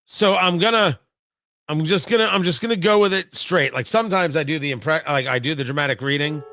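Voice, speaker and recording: American male political host, high and punchy, emphatic monologue style with theatrical pauses, very dry studio-like sound. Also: bright, forceful tone, dry recording. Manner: emphatic, repeats phrases for effect. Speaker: political talk show host. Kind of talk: opinionated political commentary monologue.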